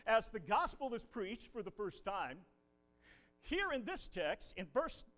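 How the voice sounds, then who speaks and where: A man, a visiting preacher leading a Bible class and prayer in a folksy, animated way. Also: sits high, measured pace. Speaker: guest preacher. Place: church building.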